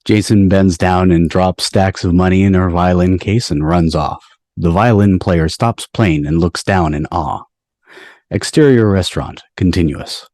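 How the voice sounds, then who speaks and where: Low-voiced man narrating stage directions. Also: a little slow, darkish tone, no room sound, clean studio sound. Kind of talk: scripted narration in a table read. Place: video call from indoors.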